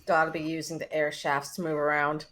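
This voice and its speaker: A woman playing a gruff, blunt, combative spaceship engineer in a sci-fi script read. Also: sits low, flat delivery, fairly quick, a bit bright, slight background noise. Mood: defensive.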